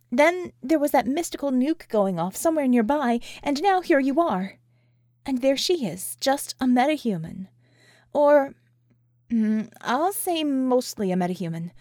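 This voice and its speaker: A woman playing a sardonic, sharp-tongued, weary woman with a wry, emphatic manner in an audiobook. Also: sits high, no room sound, clean studio sound.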